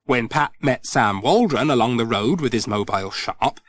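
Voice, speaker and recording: A middle-aged man reading a children's storybook aloud, gentle and expressive. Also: medium pitch, moderate pace. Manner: gentle, expressive, sing-song. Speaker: audiobook narrator.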